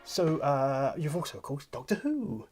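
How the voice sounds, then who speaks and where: A man's voice: a little high, very animated, moderate pace, over loud music. A middle-aged man with a British accent hosts a casual one-on-one chat. He is talkative and effusive, readily affirming what he hears. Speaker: presenter, audiobook producer and director.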